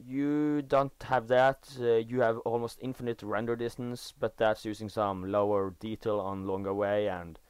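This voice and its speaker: A Norwegian teenage boy, speaking English as a second language, giving casual commentary over his own gameplay footage in a halting, self-correcting way. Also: fairly low pitch, little pitch movement, a little mellow, clear, resonant tone, no echo. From Norway. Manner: halting, self-correcting, enthusiastic about game details. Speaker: gaming video creator. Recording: dry voice-over recorded after gameplay.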